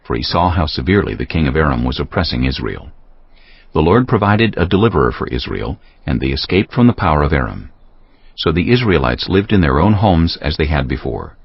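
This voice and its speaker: A man narrating a scripture audiobook in a solemn, measured, dramatic style. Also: extremely low pitch, slightly rough. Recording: dull audio with music behind.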